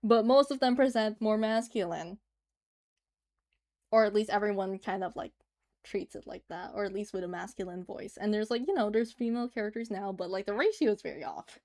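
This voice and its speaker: A woman's voice: mid-range voice, fairly quick, a little mellow. A woman livestreaming as she draws, chatting casually and giggling as she answers her chat. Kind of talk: casual chat while drawing on stream.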